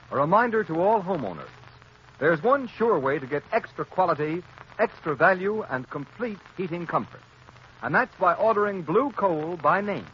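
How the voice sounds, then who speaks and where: Male vintage radio announcer, slightly high, lively inflection, formal scripted sales delivery. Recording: muffled, noisy 1930s radio recording.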